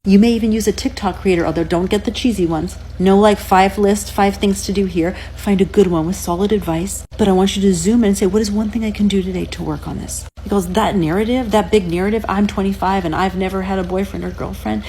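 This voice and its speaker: Woman, mellow tone, earnest coach asking rhetorical questions. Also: mid-range voice, steady tone, fairly quick, hardly any pauses, slight background noise. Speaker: relationship advice creator. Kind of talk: casual advice talk.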